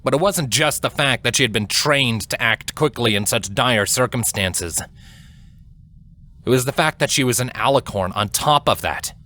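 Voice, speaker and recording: A man reading a scripted dramatic story as narrator, solemn, deliberate and expressive. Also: medium pitch. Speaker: audiobook-style fan fiction narrator.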